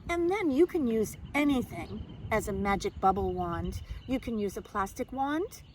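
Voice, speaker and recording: A woman kindergarten teacher speaking simply and expressively to young children, in a deliberate storytime manner. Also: a little high, lively intonation, a little mellow, a little hiss or hum, low-quality recording. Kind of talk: children's storybook read-aloud and simple instruction.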